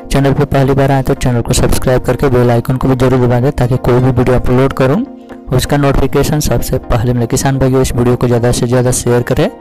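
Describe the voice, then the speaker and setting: A man's voice: medium pitch, flat delivery, fast talker, dark tone, rough recording. A man hosting a market update, reading out prices as scripted narration in a formulaic, repetitive recital. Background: music.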